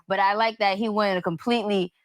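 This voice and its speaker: A woman's voice: mid-range voice, steady tone, fast, dry recording, clean studio sound. An American woman chatting casually as a podcast co-host, giving her reactions in everyday language. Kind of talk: casual chat.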